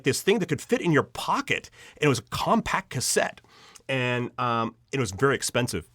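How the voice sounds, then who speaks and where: A middle-aged American man speaks as a podcast guest with a very lively, swooping pitch. He tells his own stories conversationally and slips into quoted dialogue as he goes. The recording is close and dry. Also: no room sound. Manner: acts out remembered dialogue, conversational. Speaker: podcast guest, audio drama writer. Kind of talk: animated personal storytelling in an interview.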